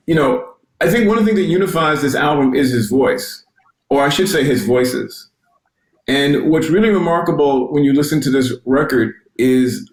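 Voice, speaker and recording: A man speaking as a panelist and music journalist, reflective and halting, often restarting his sentences. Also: mid-range voice, reverberant, poor audio. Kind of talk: panel discussion.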